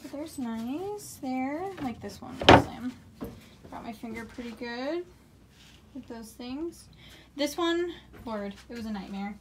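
A woman's voice: high-pitched, lively intonation, takes it slowly, very noisy. A young woman co-hosting a van build vlog, talking casually and chatty, often exasperated. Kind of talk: casual chat. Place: small living room, indoors.

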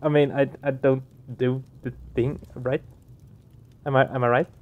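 A man's voice: medium pitch, level tone, unhurried, narrow, tinny audio. A man doing casual let's play commentary, puzzling things out with repeated questions. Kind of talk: casual game commentary and reading aloud. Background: game music.

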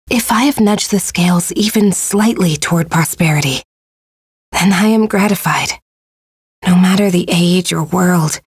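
Casting: gentle, dignified young queen for a fantasy game, very unhurried, breathy, earnest, dry close sound. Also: lowish voice, no room sound, heavily acted. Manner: measured, formal, earnest. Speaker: game voice actor. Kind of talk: scripted video game character lines.

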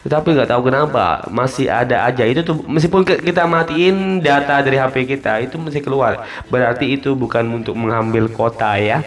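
A man's voice: mid-range voice, a little fast. A man giving a casual, step-by-step app tutorial voice-over, informally repeating instructions and drawing out the ends of phrases. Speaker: tutorial host. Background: music.

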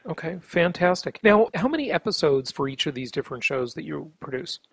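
A man with a husky voice hosting a business podcast, introducing and interviewing. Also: a little high, animated, fairly quick, no echo.

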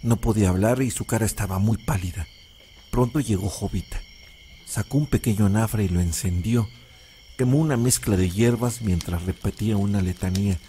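A man's voice: on the low side, dark tone, a little hiss or hum, natural voice. An actor playing an older Mexican man who tells a village legend as a dramatic narrator. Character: older male storyteller telling a local legend to a child. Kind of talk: dramatic storytelling narration, audio fiction.

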